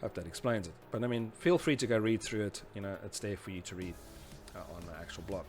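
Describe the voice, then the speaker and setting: A man's voice: fairly low pitch, quick pace, a little mellow, faint music behind. A man presenting an informal technical lesson, explaining step by step in a somewhat hesitant, conversational way. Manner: hesitant, explanatory, often saying "you know". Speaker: instructor presenting a tutorial series. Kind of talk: informal explanatory lecture talking through slides and diagrams.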